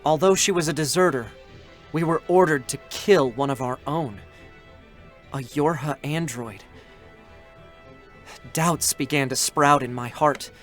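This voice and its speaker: A man's voice: on the high side, measured pace, long pauses. A man voicing an emotional young male android scanner, reading game scenes aloud at a live concert. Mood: reflective. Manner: strained, breaking into desperate shouts. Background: faint music.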